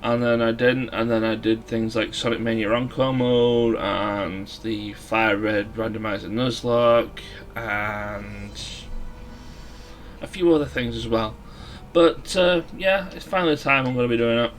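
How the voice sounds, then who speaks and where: A man's voice: medium pitch, steady tone, a little slow, faint music behind. A man hosting a Let's Play with casual commentary, talking in a rambling, hesitant way and often correcting himself.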